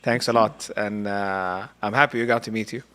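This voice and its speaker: A man's voice: on the low side, steady tone, normal speed, pushed and bright, clear, resonant tone. A man from Arabia, hosting a casual podcast chat and talking earnestly. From Saudi Arabia. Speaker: podcast host.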